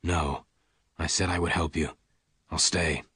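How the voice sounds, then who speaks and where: A calm Old West gunfighter, played by a male reader, very low and quiet. He speaks slowly and gently with a hard edge, in a close, dry storybook recording. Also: slightly rough, dry recording, heavily acted. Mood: calm, gentle. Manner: calm, slow, gentle but hard-edged. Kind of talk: audiobook character dialogue.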